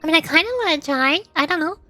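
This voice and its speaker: A woman's voice: high-pitched, rapid-fire, bright, forceful tone, faint music behind. A woman livestreamer chatting casually and playfully in a rambling way while gaming. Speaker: VTuber livestreamer.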